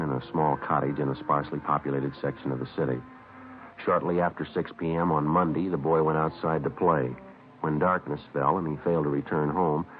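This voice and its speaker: An actor playing a terse, businesslike police sergeant who narrates a radio crime drama in clipped, matter-of-fact procedural style. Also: male voice, a bass voice. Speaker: lead detective and narrator. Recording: tinny, faintly noisy old radio recording.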